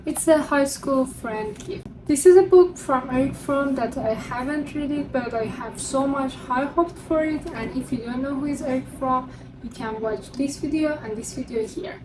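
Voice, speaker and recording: A young woman with a high, bright-sounding voice chats cheerfully through her stack of books, English being her second language. The mic sits some way off from her. Construction noise from next door carries through clearly. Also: normal speed, recorded from a distance. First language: not English. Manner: chatty and upbeat, presenting books one by one. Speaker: book vlogger. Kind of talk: casual vlog showing her unread books. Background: construction noise from a neighbor.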